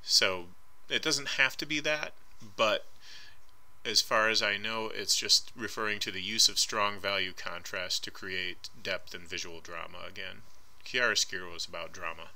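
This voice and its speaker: A man's voice: on the low side, fairly even intonation, moderate pace, sharp tone, poor audio. A man teaching an art class as a voice-over on slides, casual and hedging, with frequent ums. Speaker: art instructor. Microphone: voice-over recorded over slides.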